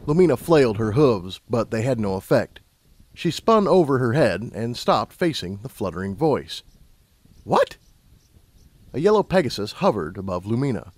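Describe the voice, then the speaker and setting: A man narrating a scripted story as an audiobook narrator, in a measured, dramatic storytelling manner. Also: mid-range voice, darkish tone, dry recording.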